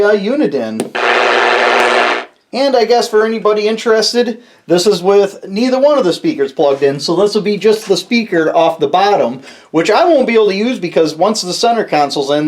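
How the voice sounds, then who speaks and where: A man's voice: high voice, a little mellow, a little hiss or hum. A man hosting a DIY build video, talking informally and folksily through a casual hands-on demonstration. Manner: informal, folksy, mild slang. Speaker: host of a DIY truck build channel.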